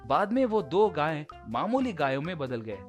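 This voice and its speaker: A man's voice: on the high side, sing-song, moderate pace, soft background music. A man narrating a scripted folk tale as a storyteller.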